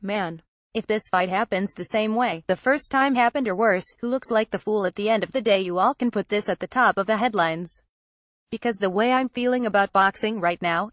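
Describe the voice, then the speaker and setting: A woman's voice: medium pitch, no room sound. A woman's synthetic text-to-speech voice reading a written transcript aloud as a narrator.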